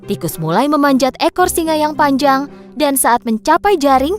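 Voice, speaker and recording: High, lively woman storyteller. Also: moderate pace. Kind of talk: scripted children's story narration. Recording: music behind the voice.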